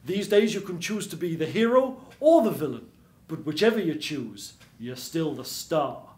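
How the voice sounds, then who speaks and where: A man's voice: fairly high pitch, animated, moderate pace, darkish tone, close to an everyday voice. A man voicing a pushy old Hollywood agent with a brash, grandiose showman's patter. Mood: hyping. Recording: roomy stage sound.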